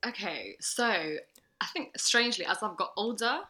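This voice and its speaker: A woman's voice: medium pitch, lively intonation, unhurried, sharp tone. A young woman talking reflectively in a casual podcast chat.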